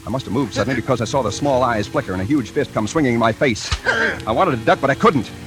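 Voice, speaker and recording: A man's voice: medium pitch, animated, fast, music in the background, natural voice. An actor playing a wry, hard-boiled man in a 1940s radio thriller, dry and terse. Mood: tense narration. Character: wry hard-boiled man narrating his noir ordeal. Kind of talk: 1940s radio drama narration and acting.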